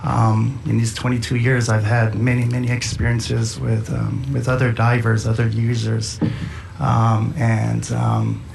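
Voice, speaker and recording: A middle-aged man giving public testimony, halting and deliberate. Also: medium pitch, monotone, gravelly, darkish tone. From Big Island of Hawaii. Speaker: fisherman and farmer giving testimony. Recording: hearing room with faint noise.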